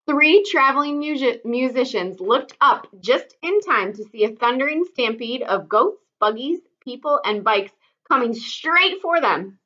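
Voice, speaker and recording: Lady teacher reading a kids book out loud, bright voice, expressive, kinda muffled audio. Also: sits high, a little slow. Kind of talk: expressive children's storybook narration.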